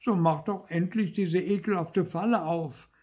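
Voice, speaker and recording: A man's voice: high voice, expressive, slow pace, dark tone, husky, voice pushed up, exaggerated voice. A man voicing a cheeky little puppet boy in a children's story, whining and protesting theatrically. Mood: indignant shouting. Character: cheeky, whiny little male puppet boy, indignant wisecracker. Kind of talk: dramatised children's storybook reading.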